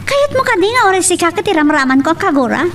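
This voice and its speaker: A woman's voice: very high-pitched, sing-song, fast, far from the mic, background noise, full character voice. A woman with an Ilocano accent playing a spirited, lovestruck young daughter of a wealthy father in a radio drama. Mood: lively, coaxing.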